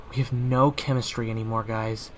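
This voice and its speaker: A man's voice: fairly low pitch, little pitch movement, moderate pace, slight background noise, low-quality recording. A young man performing an audio roleplay in a casual, wry manner.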